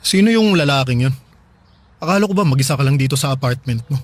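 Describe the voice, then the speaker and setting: A man's voice: mid-range voice, lively intonation, quick pace, lightly acted. A male actor playing an earnest husband and father in a radio drama. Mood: suspicious, angry. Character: earnest husband and father, trusting, then suspicious.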